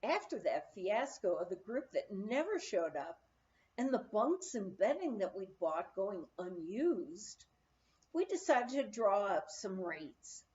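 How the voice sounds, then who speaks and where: A woman's voice: medium pitch, very animated, slow, darkish tone, lo-fi sound. A woman reading a memoir aloud as scripted, storytelling narration. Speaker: audiobook reader.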